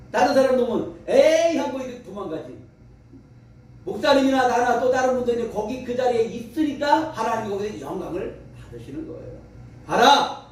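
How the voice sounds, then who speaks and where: A male revival preacher speaks in a high voice with emphatic, exhorting delivery. The sound is heavily echoing and picked up from a distance, with faint noise behind him. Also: darkish tone. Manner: emphatic, exhorting, with rhetorical questions. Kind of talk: fervent revival sermon and prayer. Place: large echoey room at a revival meeting.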